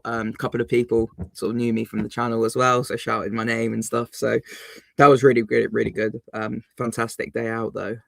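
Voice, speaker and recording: A man co-hosting a football fan channel, his voice a little croaky, talking casually with many ums and restarts. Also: mid-range voice, fairly bright tone, no room sound. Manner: chatty, lots of ums and restarts. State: slightly croaky voice. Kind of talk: casual podcast chat.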